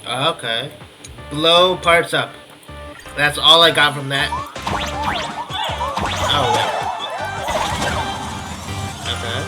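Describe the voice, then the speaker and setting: A woman's voice: low voice, drawn-out words, pauses often. A woman giving casual gameplay commentary as a video host, blunt and reactive in short interjections. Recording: loud game music behind voice.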